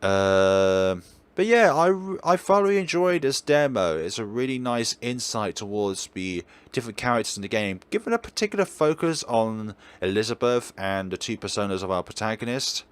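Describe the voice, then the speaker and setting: A man hosting a let's play, chatting through a visual novel in an animated way. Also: lowish voice, moderate pace, clean tone.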